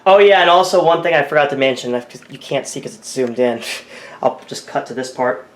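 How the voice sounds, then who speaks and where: A man's voice: medium pitch, fairly quick, soft background music. Rambling man in an echoey room. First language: English. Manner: rambling, self-correcting, thinking aloud. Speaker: tech video creator. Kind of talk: casual screen-recording commentary.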